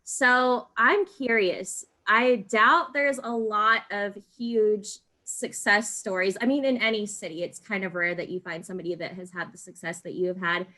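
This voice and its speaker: A woman with a clearly bright, forceful voice at an average pitch. She hosts in a casual interview style, phrasing her questions loosely and chattily. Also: normal speed, few pauses. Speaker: podcast host.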